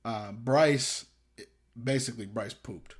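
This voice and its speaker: A young man co-hosting a casual podcast, rambling with hesitations and restarts. He drops in self-deprecating jokes. His voice has an ordinary middle pitch. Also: takes it slowly. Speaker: podcast co-host. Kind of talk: casual chat.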